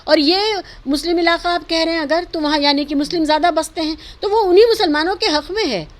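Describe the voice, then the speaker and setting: A woman's voice: very high voice, quick pace. A woman giving earnest, emphatic opinions in an interview, arguing her points and mixing in English words. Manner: emphatic, argumentative, mixes in English words and phrases. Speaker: interviewee giving social and political commentary.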